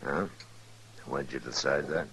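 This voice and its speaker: An actor playing a calm, watchful American frontier marshal in an old-time radio Western, with a dry, laconic manner. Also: male voice, a bass voice, measured pace, a little hiss or hum. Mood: dry, probing. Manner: dry, laconic, folksy questioning.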